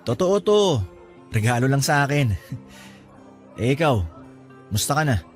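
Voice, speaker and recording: A modest young man voiced by an actor in a romantic audio drama, gently teasing an old flame. His voice sits at a middle pitch, with music playing softly behind it. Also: expressive, measured pace, close to an everyday voice. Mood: modest, curious. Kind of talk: Filipino audio drama acting.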